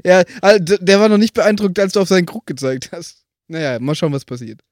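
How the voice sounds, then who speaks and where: A man's voice: high voice, steady tone, fast, a little mellow, no room sound, clean studio sound. A man playing a tabletop role-playing game, casually improvising what his character does and feels.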